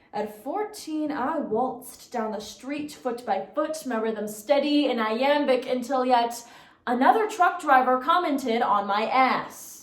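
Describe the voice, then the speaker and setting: A young woman performing a dramatic poetry recitation, with theatrical, deliberate phrasing and emphatic pauses. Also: fairly high pitch. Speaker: competitive speech performer. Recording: roomy, echoing sound.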